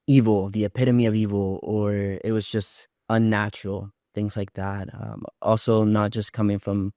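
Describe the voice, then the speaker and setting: A man's voice: fairly low pitch, a little mellow, dry recording, studio quality. A man from Puerto Rico talking as a podcast guest, telling his personal story in a reflective, conversational way, often rephrasing himself.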